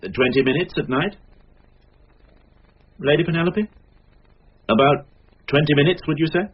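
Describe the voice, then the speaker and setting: An actor playing a weary, probing police inspector with a British accent in a radio crime drama, questioning in a measured, formal way. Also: male voice, a little high, husky. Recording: dull, hissy old radio recording with clicks.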